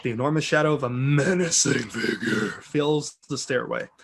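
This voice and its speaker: A man's voice: medium pitch, normal speed, creaky, a little hiss or hum. Man giving a dramatic script reading. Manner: amused, theatrical, breaks off for joking asides. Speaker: reader of narration and stage directions.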